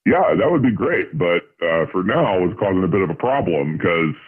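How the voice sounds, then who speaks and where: A man's voice: lively intonation, normal speed, husky, phone-quality sound. A man calling into a radio show through a voice changer, casually telling a personal story over the phone. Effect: voice changer lowering the voice. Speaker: anonymous call-in listener.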